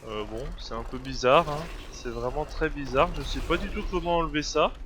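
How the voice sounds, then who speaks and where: A man's voice: fairly high pitch, moderate pace. A man with a head cold talking through a video game, hesitant and full of fillers, puzzling over what happens. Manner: hesitant, many fillers and restarts. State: has a cold. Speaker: gameplay video creator. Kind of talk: casual gameplay commentary. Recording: noisy home recording.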